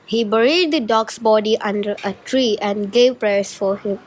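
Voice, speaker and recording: A woman's voice: mid-range voice, unhurried, poor audio. A young female student narrating a scripted folk tale for a school show.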